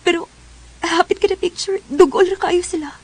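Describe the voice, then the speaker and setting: A woman's voice: very high-pitched, steady tone, moderate pace, darkish tone. An actress playing an emotional, pleading young woman betrayed by her boyfriend, in a radio drama. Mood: worried, brooding. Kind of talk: radio drama acting and first-person narration. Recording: music behind.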